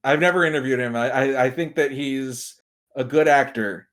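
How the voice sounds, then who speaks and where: A man's voice: mid-range voice, moderate pace, a bit bright. A man speaking as a podcast guest and film commentator in casual, humorous chat.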